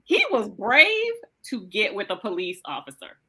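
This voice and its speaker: Bright-toned woman hosting a podcast. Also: mid-range voice, huge pitch swings, moderate pace, husky. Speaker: podcast host. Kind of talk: casual conversational discussion.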